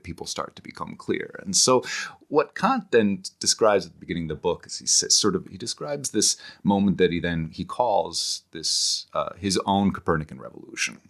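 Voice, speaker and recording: A man speaking as an interview guest, explaining ideas conversationally like an animated storyteller who acts out paraphrased quotes. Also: deep voice, moderate pace. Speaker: interview guest, author and scholar.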